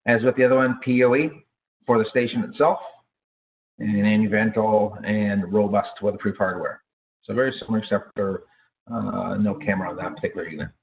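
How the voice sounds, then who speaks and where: A low-voiced man leads an informal product training session. He talks haltingly, often hesitating and correcting himself mid-phrase. The audio is thin and narrow, like a phone connection. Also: steady tone, vocal fry, slight background noise. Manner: halting, self-correcting delivery with frequent hesitations.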